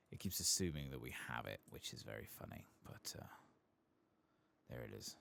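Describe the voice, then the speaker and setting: A man softly murmuring casual, unhurried ASMR commentary over a video game, sometimes whispering, close to the microphone. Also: very deep voice, very animated, vocal fry. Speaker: gaming ASMR streamer.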